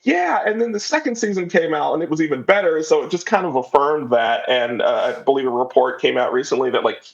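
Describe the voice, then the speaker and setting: A man's voice: fairly high pitch, expressive, a little fast, gravelly, highs cut off. A man giving a casual, thoughtful, rambling answer as an interview guest. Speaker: interview guest, audio drama writer and creator.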